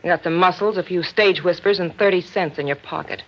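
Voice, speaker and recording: An actress playing a cool, sardonic femme fatale who trades cutting, worldly banter in 1940s radio noir style. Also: female voice, on the low side, brisk. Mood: cool, mocking. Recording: dull vintage radio recording.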